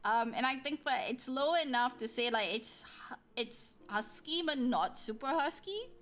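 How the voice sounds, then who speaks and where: A woman's voice: high-pitched, expressive, moderate pace. A woman livestreaming and chatting casually with her viewers, rambling with lots of fillers and poking fun at herself. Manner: rambling, lots of likes and ums, self-deprecating. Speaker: livestreamer reading chat. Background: soft background music.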